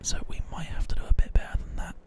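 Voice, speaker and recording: Male ASMR gaming host, whispered delivery, gentle rambling explanations. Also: fast talker. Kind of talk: whispered ASMR game commentary.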